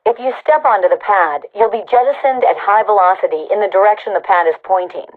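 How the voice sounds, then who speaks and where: An actress playing a brisk female rebel army commander in a video game, giving clipped, authoritative battle announcements through a radio filter. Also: mid-range voice. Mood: businesslike. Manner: clipped, authoritative military delivery. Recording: tinny, band-limited radio comms sound.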